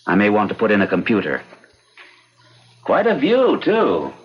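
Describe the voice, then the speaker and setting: An actor playing a reclusive, driven mathematician, brusque and self-assured, in a formal old radio drama style. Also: male voice, lowish voice, huge pitch swings, normal speed, slightly rough, highs cut off, heavily acted. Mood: brisk, curious. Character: reclusive, driven male mathematician, brusque and set in his ways. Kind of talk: old-time radio drama acting and narration.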